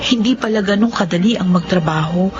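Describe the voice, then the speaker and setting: A woman acts out a dutiful, determined young Filipino daughter in a dramatic radio serial. Her medium-pitched voice sits over music in a dull, lo-fi recording. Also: brisk, close to an everyday voice. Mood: reflective. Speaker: radio drama actress and narrator. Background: background music.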